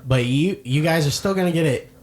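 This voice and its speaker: A man's voice: a little high, fairly quick, loud music behind the voice. A man co-hosting a podcast, teasing and wisecracking in casual banter. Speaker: podcast co-host. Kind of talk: casual chat.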